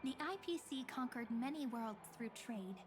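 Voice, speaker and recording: An actress voicing a gentle, girlish guide in a video game, politely and patiently explaining distant worlds. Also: on the high side, lively intonation, normal speed, lightly acted. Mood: explanatory. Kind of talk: scripted video game voice acting.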